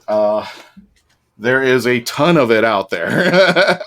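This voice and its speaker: A man's voice: medium pitch, lively intonation, takes it slowly, fairly bright tone. A man hosting a fan panel, talking casually to the audience and fellow panelists. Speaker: panel host.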